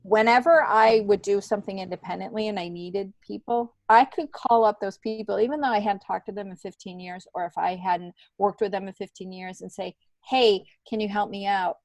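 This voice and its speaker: A woman's voice: medium pitch, brisk, hardly any pauses, no echo, rough recording. A middle-aged woman speaking candidly and reflectively in an informal interview, repeating points for emphasis. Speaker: podcast guest, stand-up comedian.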